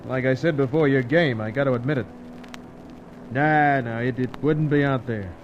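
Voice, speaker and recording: An actor playing a gruff, cagey American pilot with blunt, slangy talk in an old-time radio serial. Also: male voice, mid-range voice, moderate pace, natural voice. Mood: wary, blunt. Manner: slangy, blunt, grudging tough-guy talk. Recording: dull, faintly noisy old radio recording.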